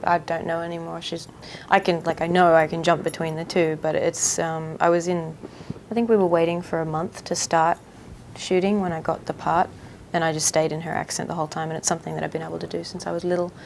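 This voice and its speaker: A woman's voice: deep voice, little pitch movement, brisk, gravelly, slight background noise. A young woman from Australia with an Australian accent, chatting politely as an actress guest in a backstage interview, often trailing off. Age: young adult. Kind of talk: casual interview chat. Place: backstage room at a theater.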